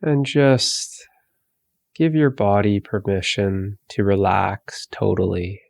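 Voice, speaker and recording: A man leading a guided meditation, giving calm, soothing, gentle instructions with long pauses between phrases. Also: fairly low pitch, vocal fry, no echo, clean studio sound.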